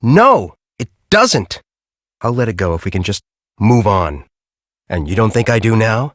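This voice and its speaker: A man's voice: on the low side, very animated, unhurried, a bit bright, no room sound, clean studio sound. An actor playing a bitter, arrogant middle-aged male scientist in a video game, with a sardonic, condescending, theatrical way of talking. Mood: irritated, defensive. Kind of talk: video game character acting.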